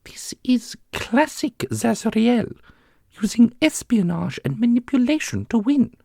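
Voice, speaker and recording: Woman acting a slow, creaky-voiced fantasy sage. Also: a little high, big pitch swings, mellow, no echo, clean studio sound, exaggerated voice. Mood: knowing, grave. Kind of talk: fantasy audio roleplay acting.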